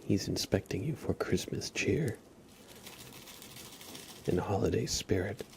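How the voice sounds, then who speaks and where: A man with a low, creaky voice speaks in gentle ASMR style, slipping between whispers and soft speech. He takes his time, close to the mic, with clear background noise and crinkling. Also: expressive, slow pace. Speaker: ASMR live streamer.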